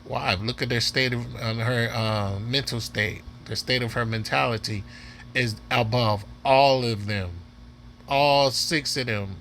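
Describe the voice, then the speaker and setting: A man with a sharp voice reacting off the cuff to a clip on his video channel, taking his time and repeating himself, faint noise around him. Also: mid-range voice, steady tone, measured pace. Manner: repeats himself, urging viewers to watch. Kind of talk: casual off-the-cuff commentary on a dating show.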